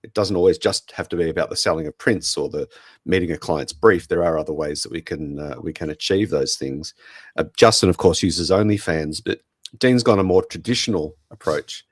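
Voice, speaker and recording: Deep, croaky Australian man hosting. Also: a little fast, no echo, clean studio sound. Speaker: podcast host. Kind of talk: casual podcast hosting.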